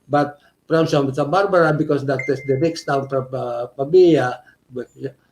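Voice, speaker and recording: A man from the Western Visayas, a radio guest explaining things in a halting way with many pauses and fillers. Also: medium pitch, darkish tone, a little hiss or hum. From Western Visayas, Philippines.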